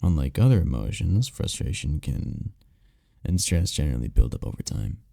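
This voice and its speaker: Man playing a deep, gravelly, tender incubus boyfriend. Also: big pitch swings, dry recording, studio quality, full character voice. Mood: tender, caring. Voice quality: deep, dark, gravelly, husky. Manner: soft, unhurried, caring, with breathy murmurs. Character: tender, powerful male incubus boyfriend, soothing and reassuring. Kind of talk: intimate roleplay ASMR, scripted comfort monologue. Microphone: close mic, dry.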